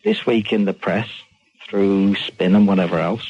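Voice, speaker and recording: A man calling talk radio, giving his opinion in a measured, halting, careful way. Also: deep voice, steady tone, husky. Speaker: phone-in caller. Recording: dull phone call on radio.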